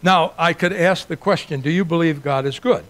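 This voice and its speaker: A man's voice: fairly high pitch, normal speed, fairly bright tone. An older man born in Italy, preaching a sermon to a church in an earnest, emphatic way, building points through repeated lists. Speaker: guest preacher.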